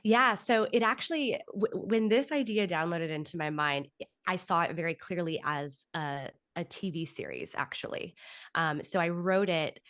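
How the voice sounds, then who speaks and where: A woman's voice: fairly low pitch, animated, normal speed, fairly bright tone, no room sound, clean studio sound. A woman speaking as an interview guest, explaining her own creative work conversationally with loose asides. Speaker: podcast guest, writer and audio drama producer.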